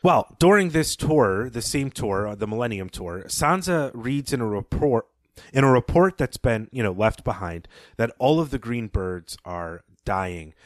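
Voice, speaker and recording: A man co-hosting a podcast, casually discussing a story and recapping its plot in a thoughtful, measured way, with soft laughs. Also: lowish voice, no room sound. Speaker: podcast co-host.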